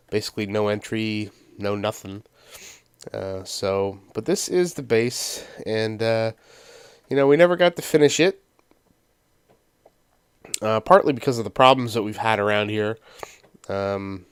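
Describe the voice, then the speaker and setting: Game host, male, nasal from a sinus cold, chatty and meandering, smooth lower voice. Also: dry recording. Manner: rambling, many ums and uhs. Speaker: let's play host. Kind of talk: casual gameplay commentary.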